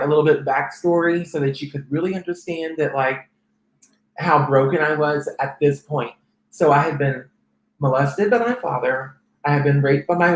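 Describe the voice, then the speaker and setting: A man in his thirties with a soft, feminine-sounding voice, telling his life story as an interview guest, with frequent restarts. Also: a little high, echoey room, poor audio. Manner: rambling, many restarts and fillers. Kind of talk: personal testimony in conversation.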